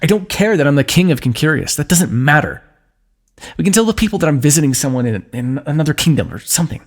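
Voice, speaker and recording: Husky warrior king voiced by a man, protective and lovesick, expressive swooping pitch, earnest pleading, fantasy audio roleplay. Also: fast talker. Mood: firm, protective. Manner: earnest, impassioned pleading to an unseen listener. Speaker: ASMR roleplay voice actor.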